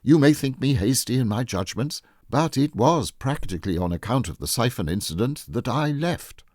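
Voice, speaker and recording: A man reading the part of an imperturbable, free-spirited Edwardian lady governess in a storybook, lofty, decisive and deadpan. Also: medium pitch, moderate pace, no echo, clean studio sound, voice pushed up, natural voice. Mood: deadpan, scandalous.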